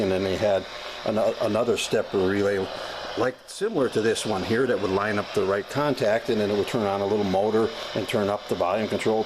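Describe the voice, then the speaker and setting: An American man giving a casual, unscripted demonstration as a hobbyist, talking in a folksy, plain-spoken, halting way. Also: fairly low pitch. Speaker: hobbyist demonstrating a vintage gadget. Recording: clearly noisy amateur recording.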